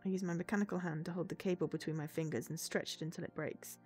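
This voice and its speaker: A woman's voice: on the low side, steady tone, quick pace, a little mellow. A woman streamer reading a visual novel aloud as dramatic narration. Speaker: game streamer. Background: game music.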